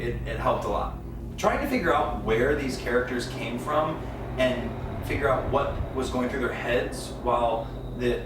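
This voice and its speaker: A man's voice: medium pitch, slight background noise. A man, an actor, talking reflectively about playing a role in an interview, with many fillers. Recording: echoey room, voice from a distance.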